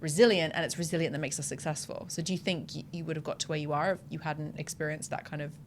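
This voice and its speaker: Young woman hosting a podcast, lower voice, super enthusiastic, swears casually. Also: fairly even intonation, quick pace, slight background noise. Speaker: podcast host. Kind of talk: casual interview chat.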